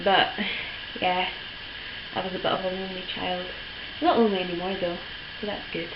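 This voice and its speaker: A teenage girl, hoarse from a cold, rambling through a casual vlog with lots of ums and likes. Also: fairly low pitch, fairly quick. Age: teens. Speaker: vlogger. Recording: dull lo-fi with steady background noise.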